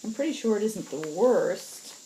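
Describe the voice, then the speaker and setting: A woman's voice: mid-range voice, expressive, normal speed, dark tone, a little hiss or hum, voice pushed up, natural voice, poor audio. A woman voicing an anxious, defensive female scout in a space opera story, exclaiming with nervous disbelief, insects faintly behind. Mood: sheepish.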